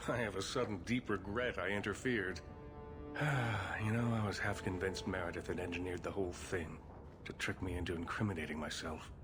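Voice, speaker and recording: An actor playing a weary, cautious conspirator in a video game, measured, discreet and formal. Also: male voice, deep voice, steady tone, music in the background, natural voice. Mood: rueful. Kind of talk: video game cutscene dialogue. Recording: clear background noise.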